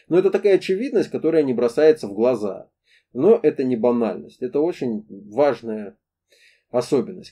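A man's voice: mid-range voice, dark tone. A man giving an explanatory talk to camera in a didactic, emphatic way, with rhetorical questions and repeated points. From former Soviet country, moved to Russia as a child. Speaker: host of a pedagogy talk series. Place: indoor room against a plain wall.

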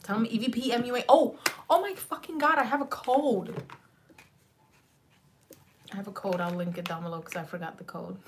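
A woman in her thirties chatting loosely on a beauty livestream, swearing freely, dropping in Spanish words, her slightly raspy voice going in and out. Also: mid-range voice, normal speed, long pauses, a little hiss or hum. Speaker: beauty vlogger. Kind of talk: rambling casual livestream chat. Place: small home beauty room, indoors.

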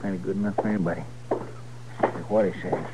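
An actor playing a folksy, fussy frontier deputy with a drawling, deferential rural Western accent in 1950s radio drama. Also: male voice, low-pitched, steady tone, brisk, natural voice. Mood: huffy. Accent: rural Western American. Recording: noisy vintage radio recording with clicks.

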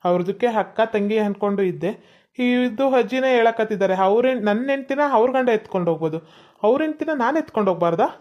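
A man's voice: high voice, animated, fast talker, lo-fi sound. A man playing a cheeky young man who speaks broken Kannada with odd phrasing, in a comedy skit. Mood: scheming.

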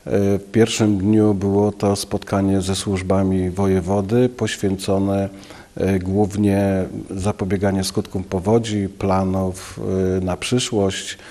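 A man in his fifties, a local official giving a news interview in an office, speaking haltingly with many filler sounds into a handheld mic. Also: low-pitched, little pitch movement, mellow. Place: office, indoors. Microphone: handheld station mic.

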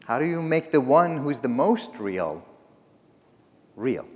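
A man's voice: mid-range voice, big pitch swings, takes it slowly. A middle-aged man, not a native English speaker, preaching a humorous, story-driven sermon from a church pulpit. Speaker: guest preacher. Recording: long church reverb.

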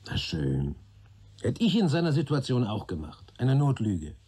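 A wry older police chief in a radio crime play. His husky, mellow voice swings in lively melody as he rambles, jokes and grumbles to a junior colleague. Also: mid-range voice, measured pace, exaggerated voice. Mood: musing, persuasive. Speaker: actor in a radio crime drama. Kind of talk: German radio play dialogue.

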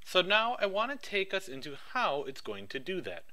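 A man giving a rehearsed project pitch with an upbeat sales-pitch delivery. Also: wildly swooping pitch, moderate pace, bright, forceful tone.

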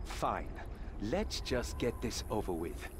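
Cocky, very animated hero. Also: male voice, on the low side, takes it slowly, background noise. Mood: tense, irritated. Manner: flippant, wisecracking, expressive. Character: cocky, sarcastic young male adventurer hero. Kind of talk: video game voice acting.

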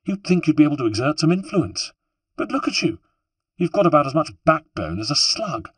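A man's voice: mid-range voice, very animated, moderate pace, breathy edge, dry recording. A man voicing a spirited, imperious younger noblewoman in a storybook, scolding and commanding. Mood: scornful, furious.

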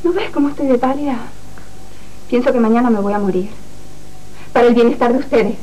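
Sarcastic young telenovela wife played by a woman, higher-pitched yet warm-toned, deliberate and hurt. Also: lightly acted. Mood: bitter, sarcastic. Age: young adult. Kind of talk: telenovela acting. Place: indoor TV studio set. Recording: noisy old TV archive tape.

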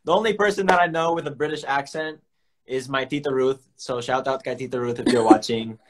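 A man's voice: mid-range voice, fairly quick, slight background noise. A young man hosting a casual phone livestream, chatty and playful, mixing English and Tagalog. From United States. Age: young adult. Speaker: livestream host. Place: small room indoors. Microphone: phone at arm's length.

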